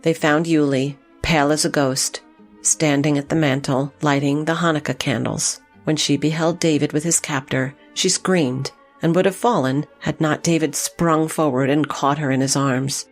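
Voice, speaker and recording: A woman's voice: low-pitched, fairly even intonation, moderate pace, faint music behind. A woman narrating a literary story in an audio drama, reading from a script.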